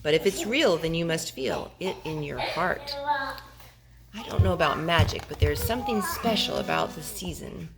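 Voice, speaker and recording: A woman reading a rhyming picture book aloud to young children, with expressive, gently dramatic storytelling. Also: low voice, normal speed, vocal fry.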